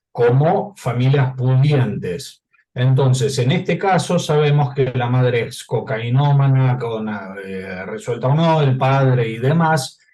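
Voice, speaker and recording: A man speaking as a non-native, asking a question at a conference in a polite, halting way with restarts. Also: medium pitch, warm tone. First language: not Spanish. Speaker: audience member asking a question.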